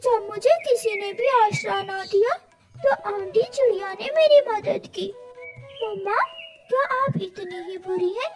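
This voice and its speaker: A woman's voice: extremely high pitch, unhurried, voice pushed up. A woman voicing a young eaglet child in a cartoon, childlike and plaintive. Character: young eaglet child, pleading, stubborn and affectionate.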